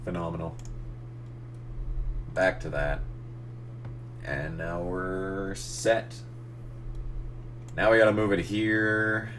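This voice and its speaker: Young man, low voice going hoarse, casual self-narrating editor who swears at the screen. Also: little pitch movement, takes it slowly, long pauses, noisy background. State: losing his voice. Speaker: gaming channel host. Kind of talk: casual tutorial commentary while editing.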